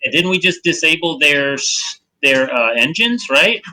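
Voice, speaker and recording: A man's voice: a little high, animated, unhurried, pushed and bright, poor audio. A man chatting casually as a player discussing plans in a tabletop role-playing game.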